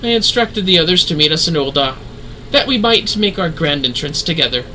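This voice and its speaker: A man's voice: fairly high pitch, lively intonation, a little fast, sharp tone, slight background noise, low-quality recording. A man voicing a refined, earnest woman leader in a fantasy game, speaking in formal, solemn, archaic phrasing. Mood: resigned, gracious.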